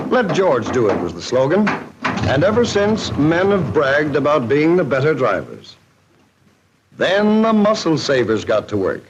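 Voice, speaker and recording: A man's voice: mid-range voice, a little hiss or hum. An American man narrating a scripted 1940s promotional film in a jocular, folksy showman's manner. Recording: dull, band-limited 1940s film soundtrack.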